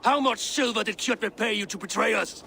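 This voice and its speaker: A blunt, honor-bound Viking warrior, with a bright, fairly high voice. It is a video game cutscene with faint noise behind. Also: male voice, moderate pace, gravelly, full character voice. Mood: stern. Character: stern male Viking warrior, proud and blunt.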